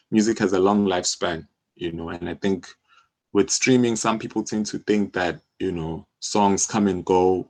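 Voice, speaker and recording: A man's voice: lowish voice, darkish tone. A man in his thirties with a South African accent, explaining his work informally as an interview guest, often saying "you know". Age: 30s. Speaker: interview guest, music publisher. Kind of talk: conversational interview.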